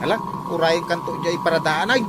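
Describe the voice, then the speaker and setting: An excitable, cheeky Ilocano driver. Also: mid-range voice, a little fast, heavy background noise. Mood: boastful. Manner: animated, exclamatory. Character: excitable, cackling tricycle driver, cheeky and eager. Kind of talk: Filipino radio comedy drama acting.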